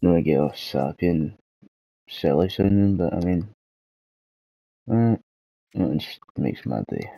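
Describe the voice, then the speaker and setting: A Scottish man chats through a casual gaming vlog in a deep, gravelly voice, hoarse from a cold. His tone is dark and mellow. He rambles slowly, swears freely and puts himself down. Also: no echo, rough recording. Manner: rambling, sweary, self-deprecating. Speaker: gaming channel host.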